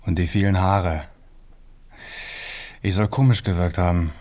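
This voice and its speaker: A young man with a low voice, awkward and laconic. He gives things out in short, dry fragments, in the understated manner of a radio play. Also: slow, a little mellow. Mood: deadpan, resigned. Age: young adult. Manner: terse, clipped, matter-of-fact fragments. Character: awkward, laconic young man, student hopeful, unlucky and deadpan. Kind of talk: naturalistic radio drama acting.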